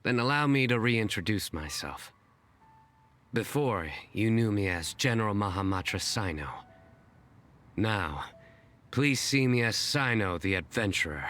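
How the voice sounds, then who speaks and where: An actor playing a young adventurer who tells bad puns in a dry, deadpan way in a game. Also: male voice, fairly low pitch, a little slow. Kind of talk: game voice acting.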